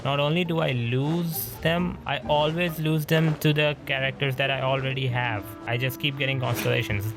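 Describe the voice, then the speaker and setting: A man's voice: mid-range voice, fairly even intonation, normal speed, vocal fry, hardly any pauses. A young man giving casual commentary on a game he is playing, wry and grumbling. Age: young adult. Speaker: gamer commenting on a playthrough. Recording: game music playing behind the voice.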